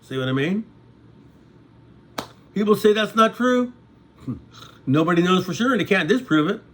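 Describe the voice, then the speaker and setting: Man, sixties, high-ish animated voice, meandering vlog monologue with frequent asides to viewers. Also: measured pace, a little hiss or hum. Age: 60s. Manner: conversational, repeats "okay?" to check in with viewers. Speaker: vlogger. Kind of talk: rambling casual monologue.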